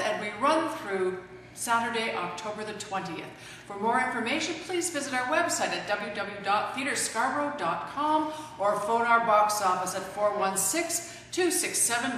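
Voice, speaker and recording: A woman's voice: on the high side, fairly bright tone. A middle-aged woman, a theatre producer, giving a promotional announcement to camera. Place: large indoor stage set, seen to camera. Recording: echoey hall, distant mic, faint noise.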